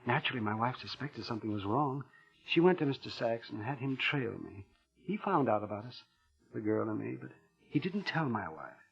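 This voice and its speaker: A timid, browbeaten American husband in a vintage radio drama. His voice is breathy and rough, plaintive and prone to flustered stammering. The old recording is muffled and dull. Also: mid-range voice, lively intonation, a little fast, heavily acted. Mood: ashamed, plaintive. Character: timid, browbeaten little husband being blackmailed. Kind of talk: 1940s-50s radio drama acting.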